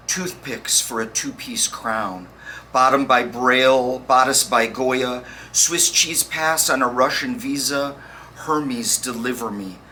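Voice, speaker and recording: A man reading poems aloud with deliberate, dramatic phrasing and pauses. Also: medium pitch, bright, forceful tone. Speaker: poetry reader. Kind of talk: spoken poetry reading. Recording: faint background noise.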